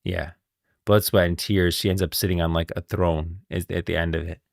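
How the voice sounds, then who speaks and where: A man with a low voice talks as a game streamer giving live lore commentary. His delivery is casual and rambling, often repeating itself as he thinks aloud. The sound is dry and free of room echo. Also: level tone, normal speed, creaky.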